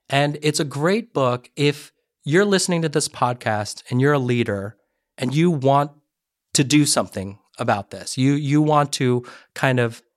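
Man, middle-aged guest, earnest, storytelling, restarts sentences, medium pitch, dry close sound. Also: dry recording. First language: Korean. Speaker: podcast guest, education advisor. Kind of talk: conversational interview.

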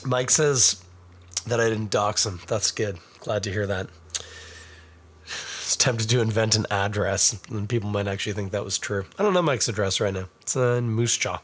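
A middle-aged man from Canada, hoarse and raspy after a cold, chats casually as a podcast host. His voice sits a little low, and he rambles from one aside to the next, poking fun at himself as he talks to people watching. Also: moderate pace, fairly bright tone. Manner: rambling, self-mocking, chatty with viewers. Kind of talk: casual live-stream chat.

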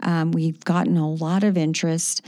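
A woman's voice: sits low, level tone, normal speed, warm tone, no echo, studio quality. A woman hosting a radio talk show, promoting her products with enthusiasm and lots of fillers. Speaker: radio show host and magazine publisher.

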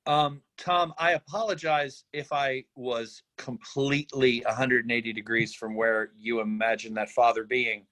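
A man's voice: mid-range voice, fairly even intonation, normal speed, hardly any pauses, a bit bright, poor audio. A man speaking casually in a group discussion, as an actor from a script reading.

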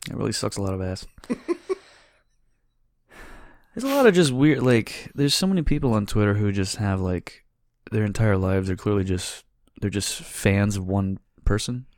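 Sarcastic low-voiced man, dry close mic. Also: animated, normal speed, darkish tone, dry recording. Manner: sarcastic, profane, laughing often. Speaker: podcast co-host. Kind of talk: casual crude banter.

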